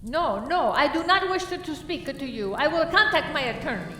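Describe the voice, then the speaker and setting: A woman playing a pious, demure lady suspect in a radio play, polite and halting, repeating her words. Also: sits high, lively intonation, a bit bright. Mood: guarded. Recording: echoey room from far off.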